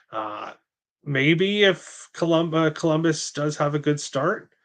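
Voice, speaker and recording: A man of middle pitch joins a sports betting show as a guest and lays out his picks. He talks plainly and steadily, dropping a lot of "uh" and "um" along the way. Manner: plain, steady, with frequent fillers. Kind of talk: casual sports betting chat.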